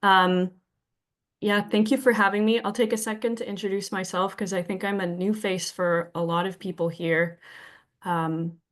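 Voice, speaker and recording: A young woman from Victoria, British Columbia, a little sick and sniffling, giving a friendly talk to a club audience from home, with many ums and restarts. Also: medium pitch. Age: young adult. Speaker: guest presenter. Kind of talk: remote presentation talk. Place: home, presenting remotely.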